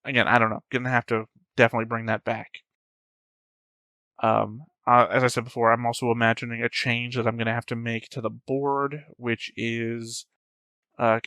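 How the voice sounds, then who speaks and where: A man giving unscripted reaction commentary as a host, rambling as he thinks out loud. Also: medium pitch, smooth, no echo.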